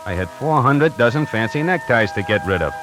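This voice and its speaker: A man's voice: medium pitch, animated, brisk, close to an everyday voice, low-quality recording. An actor playing a wisecracking necktie salesman who narrates his own story in a 1940s radio drama, hardboiled and slangy. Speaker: narrator and lead character. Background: music.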